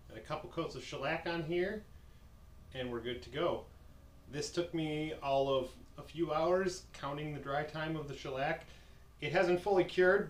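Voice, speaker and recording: A man's voice: medium pitch, distant mic. Brief: male luthier, easygoing walkthrough, roomy sound, mic set back, faint background hum. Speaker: luthier demonstrating a build. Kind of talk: workshop walkthrough.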